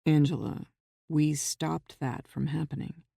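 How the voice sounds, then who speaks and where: A woman voicing a steady, guarded adult man who speaks in terse, measured lines in an audiobook. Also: low voice, gravelly, breathy edge, no room sound, clean studio sound, voice pushed down, exaggerated voice. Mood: questioning. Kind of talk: audiobook character reading.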